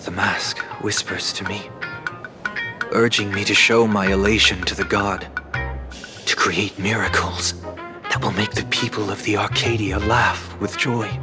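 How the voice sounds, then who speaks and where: Man voicing low, composed, refined gentleman. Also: fairly even intonation, music in the background, close to an everyday voice. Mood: calm, musing. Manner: measured, formal, reflective. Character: composed, courteous, refined man who once sought godhood. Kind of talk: video game character dialogue.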